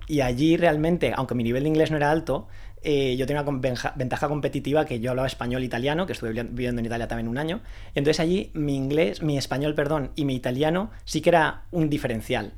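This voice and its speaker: Fast-talking man, guest founder. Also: mid-range voice, darkish tone. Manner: fast talker with many restarts and fillers. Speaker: founder of a renewable energy recruitment consultancy. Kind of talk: casual interview answers.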